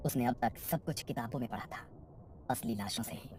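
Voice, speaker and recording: A woman with a very deep, dark voice narrates a scripted horror story. She moves along briskly, with a faint noise behind her.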